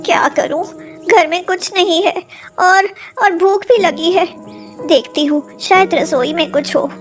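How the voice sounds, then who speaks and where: A very high, sweetly wheedling young daughter-in-law acted by a woman storyteller. She is sly and food-loving, and puts on wide-eyed innocence in an unhurried storybook delivery. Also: steady tone, soft background music. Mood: greedy, scheming. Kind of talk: animated moral story acting.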